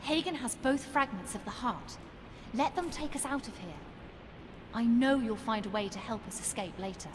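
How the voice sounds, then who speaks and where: An actress playing a sharp-tongued, headstrong woman archaeologist with a sardonic manner in a video game. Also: a little high, expressive, normal speed, noisy background, natural voice. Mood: resigned. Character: feisty, sharp-tongued female archaeologist adventurer. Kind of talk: video game cutscene acting. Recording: hall reverb, music behind.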